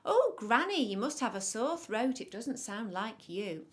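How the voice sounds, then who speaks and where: A woman as an innocent, high-voiced little girl. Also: very animated, a little slow, a bit bright, a little hiss or hum. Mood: puzzled. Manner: wide-eyed, earnest. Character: innocent, trusting little girl, politely puzzled. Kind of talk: children's storybook character voice.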